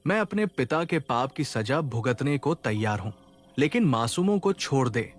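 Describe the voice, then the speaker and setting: A man voicing a frightened but earnest young villager, dramatic and pleading, in an animated horror story. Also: mid-range voice, normal speed, soft background music. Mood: desperate resolve.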